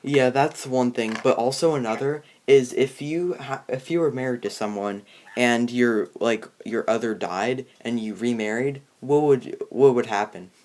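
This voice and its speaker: Young adult man, medium pitch, casual movie-review host, halting, frequently rewording. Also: darkish tone. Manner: halting, rephrasing often.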